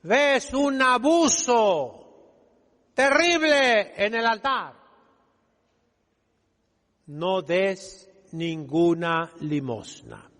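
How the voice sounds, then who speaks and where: A man's voice: high voice, animated, drawn-out words, pauses often, a bit bright. A man preaching a sermon as a priest, emphatic and didactic, putting rhetorical questions to his listeners. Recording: long reverberant echo of a large hall.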